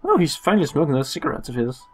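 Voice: mid-range voice, wildly swooping pitch, racing, darkish tone. A let's player giving playful game commentary in a goofy, sarcastic, theatrical way.

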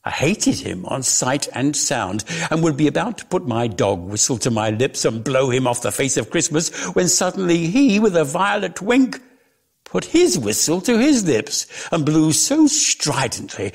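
A man giving a dramatic literary reading as storyteller, with theatrical, deliberate, emphatic phrasing. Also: medium pitch, vocal fry, breathy edge. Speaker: reader of a story.

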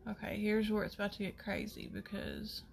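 A woman with a Southern US accent chatting casually through a makeup tutorial, folksy and rambling as she thinks out loud. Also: mid-range voice, flat delivery, fairly quick, creaky, background noise. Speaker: makeup artist hosting a tutorial.

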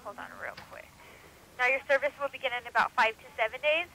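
A woman telemarketer giving a phone sales pitch in an informal, persistent manner. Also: fast, bright, forceful tone. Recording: noisy telephone line.

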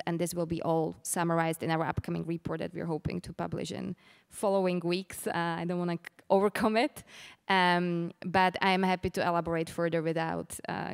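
A woman gives formal panel remarks in a smooth, clear voice. Her pitch sits low for a woman and stays even. She speaks into a handheld mic in a large conference room. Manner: measured, formal, some fillers. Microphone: handheld microphone.

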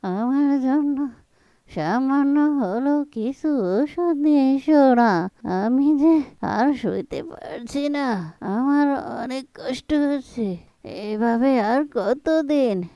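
A woman voicing a sick, suffering old mother in a cartoon, pleading in slow, drawn-out words. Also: high voice, animated, dark tone, clear, resonant tone, no room sound, heavily acted. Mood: weak, pleading.

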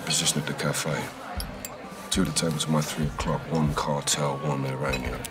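An actor playing a seasoned middle-aged British special forces captain, terse and commanding, in a military game. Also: male voice, a bass voice, flat delivery, normal speed, music in the background. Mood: steady, commanding.

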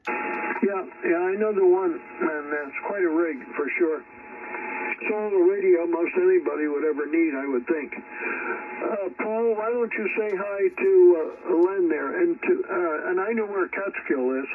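A man's voice: high-pitched, unhurried, distant mic. A man rambling casually as an amateur radio operator, heard over shortwave radio. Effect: shortwave radio transmission. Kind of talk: casual ham radio chat. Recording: narrowband, very noisy shortwave radio reception.

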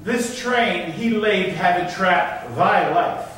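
An actor playing a stern, crafty governor in an Elizabethan stage tragedy, speaking stately declaimed verse. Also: male voice, sits high, takes it slowly, fairly bright tone, a little hiss or hum. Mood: grave. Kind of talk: theatrical Elizabethan verse acting. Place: indoor theatre stage, live staged reading. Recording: echoey stage hall, distant microphone.

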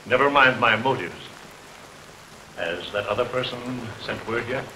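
A man's voice: medium pitch, moderate pace, lightly acted. Man playing rich scheming lovesick gent in an old 30s movie, formal and intense, bit of hiss and rain. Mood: curt, impatient. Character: wealthy, lovestruck, scheming gentleman host. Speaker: film actor. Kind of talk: early 1930s film acting. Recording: hissy 1932 film soundtrack, stormy rain behind.

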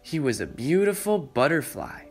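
A young man hosting a children's story time, reading aloud in a deliberate manner and speaking directly to young listeners. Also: a little high, big pitch swings. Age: young adult. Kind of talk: read-aloud storytelling for preschoolers. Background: background music.

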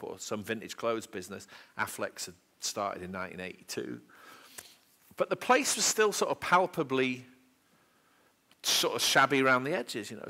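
A man's voice: medium pitch, gravelly, bright, forceful tone. A middle-aged Englishman from the Manchester area telling rambling, self-deprecating stories to an audience at a public talk, speaking into a headset mic in a small lecture room. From England, Manchester area. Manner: rambling, self-deprecating anecdotes with many asides; gestures with his hands while talking. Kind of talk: storytelling talk before an audience.

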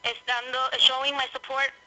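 A woman's voice: high-pitched, flat delivery, normal speed, pushed and bright, soft background music, rough recording. A woman from Ecuador who grew up in New York, a phone-in guest speaking hesitantly and searching for words over a telephone. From born in Latacunga, Ecuador, raised in Brooklyn, New York.

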